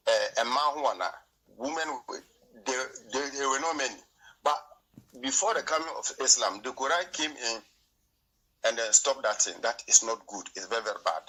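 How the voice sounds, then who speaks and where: A man from Ghana with a Ghanaian accent, Twi his first language, arguing his view in a heated debate, halting and repeating himself. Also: mid-range voice, pushed and bright, husky, low-quality recording. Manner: repetitive, halting, argumentative. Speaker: guest.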